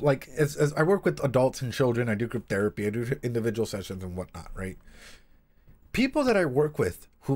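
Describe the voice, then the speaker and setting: A man's voice: mid-range voice, darkish tone. A man hosting a livestream in a casual, slangy, sweary way, rambling through reaction and analysis and often repeating his phrases. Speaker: livestream host and practising therapist.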